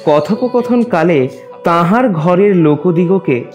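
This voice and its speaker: A man narrating a literary story as a scripted reading, in a formal, measured storytelling manner. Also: high-pitched, animated, over music.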